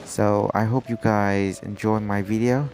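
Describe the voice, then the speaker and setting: A man's voice: on the low side, fairly even intonation, measured pace, a little mellow, a little hiss or hum. A man streaming a game with casual reaction commentary, using non-native English phrasing.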